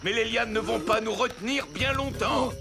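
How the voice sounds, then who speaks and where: A man voicing a gentle, reassuring old grandfather elephant king in a cartoon. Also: extremely high pitch, moderate pace, vocal fry. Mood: urgent. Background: cartoon music.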